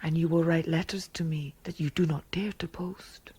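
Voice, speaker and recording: An actress in a radio drama playing an intense, willful mute pianist voicing her secret thoughts, often dropping to a breathy whisper. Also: sits low, steady tone, moderate pace, dark tone. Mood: yearning, sensual. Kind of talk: radio drama inner monologue.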